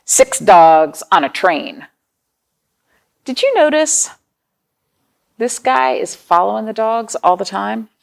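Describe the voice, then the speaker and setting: Female children's storytime reader: slow, very expressive delivery, simple explanations, plenty of questions to little listeners. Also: medium pitch, vocal fry. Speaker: children's librarian hosting storytime. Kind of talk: read-aloud storytime for young children, with questions and counting.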